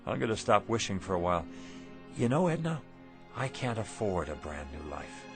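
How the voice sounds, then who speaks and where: A man acting in a radio drama as a weary, wistful middle-aged shopkeeper husband, halting and rueful in manner. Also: fairly low pitch, sing-song, over music. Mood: relieved, wry. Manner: halting, self-doubting, rueful. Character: weary, wistful failing antique shop husband.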